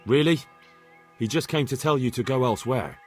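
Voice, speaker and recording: Man playing a brisk, earnest young medieval lad. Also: mid-range voice, darkish tone, faint music behind, close to an everyday voice. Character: earnest, inquisitive young medieval blacksmith's son. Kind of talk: video game dialogue acting.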